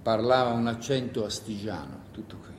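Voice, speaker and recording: A man's voice: animated, normal speed, natural voice. A man playing a sombre partisan fighter in a stage play, plain, firm and clipped. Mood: sober, firm. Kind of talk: solo theatre monologue. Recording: echoey room with noise and rumble.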